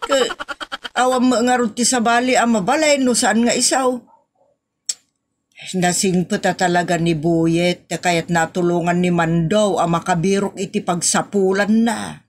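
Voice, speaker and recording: A woman's voice: mid-range voice, steady tone, unhurried, lightly acted. A woman playing a practical, persuasive wife in an Ilocano comedy audio drama, conversational and with an Ilocano accent.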